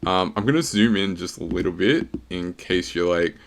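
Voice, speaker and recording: A man explaining the last steps of a sudoku puzzle casually, with many uhs and a relaxed, joking tone. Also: low voice, moderate pace, a little hiss or hum. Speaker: sudoku puzzle setter and walkthrough host.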